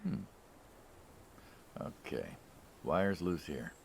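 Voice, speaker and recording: A man's voice: extremely low pitch, animated, extremely slow, gravelly, darkish tone, slight background noise, heavily acted. A man playing a friendly, inquisitive, wryly skeptical middle-aged American mobster in naturalistic video game dialogue. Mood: bemused, skeptical.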